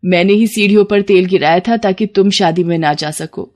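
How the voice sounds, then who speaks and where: A woman's voice: mid-range voice, normal speed, a little mellow, close to an everyday voice. A haughty, rich mother-in-law of middle age, put on by a woman storyteller. She is scornful and melodramatic, a schemer from a family morality tale. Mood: remorseful.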